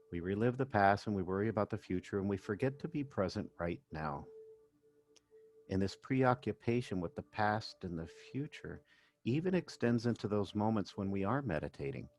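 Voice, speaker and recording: A man working as a meditation coach, narrating a guided session in gentle, measured phrases with pauses. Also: on the low side. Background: background music.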